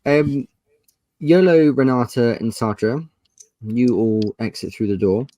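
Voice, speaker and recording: A man running a tabletop roleplaying game, narrating scenes in a measured, descriptive and polite way. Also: medium pitch, dark tone, no room sound, rough recording. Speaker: game master.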